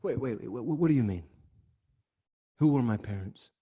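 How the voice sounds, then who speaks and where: A man's voice: mid-range voice, animated, normal speed, warm tone, breathy edge. An actor playing a proud, hot-tempered king in a dramatic reading over a video call, scornful and commanding. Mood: defiant impatience. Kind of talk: Zoom dramatic reading of a Greek tragedy.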